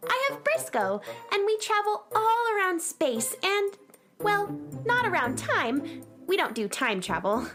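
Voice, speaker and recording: A very high, swooping girl's voice, full of indignant pluck and earnest emphasis. She is a young space adventurer in a children's radio drama, with music running behind her. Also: sing-song, a little slow, exaggerated voice. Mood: firm, indignant. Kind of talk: children's radio theater acting.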